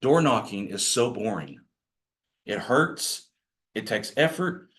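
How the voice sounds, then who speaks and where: Male trainer, low growly voice, folksy and self-deprecating, workshop delivery. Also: very animated, slow pace, fairly bright tone. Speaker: real estate agent training other agents. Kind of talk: casual workshop talk to a group.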